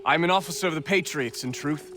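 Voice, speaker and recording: An actor playing a haughty, scheming army officer and spy, formally spoken, in a video game. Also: male voice, a little high, quick pace, over music, lightly acted. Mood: haughty, wary. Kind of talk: video game drama acting.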